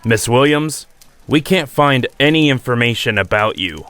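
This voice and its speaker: A man's voice: medium pitch, expressive, moderate pace, fairly bright tone, no echo, lightly acted. A man as a hard-nosed army colonel. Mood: probing. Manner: terse, interrogating. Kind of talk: audio drama acting.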